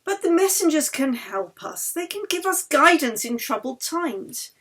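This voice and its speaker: Woman reading as an earnest, impassioned baron, raised pitch. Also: sing-song, measured pace, a bit bright, full character voice. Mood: fervent, pleading. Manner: impassioned, grandiose, persuasive. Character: earnest, fervent Swedish baron devoted to spiritualism. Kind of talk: audiobook character dialogue.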